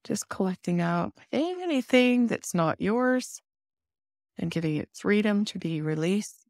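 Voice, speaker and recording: A woman leading a guided meditation in a soothing, instructive manner with deliberate pauses. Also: mid-range voice, animated, darkish tone, dry recording, clean studio sound.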